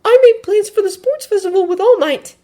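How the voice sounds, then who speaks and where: A woman voicing an anxious, earnest teenage boy in an audiobook, halting and emphatic. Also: extremely high pitch, lively intonation, mellow, pitched above the performer's own voice. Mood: nervous, determined. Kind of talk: audiobook character voice.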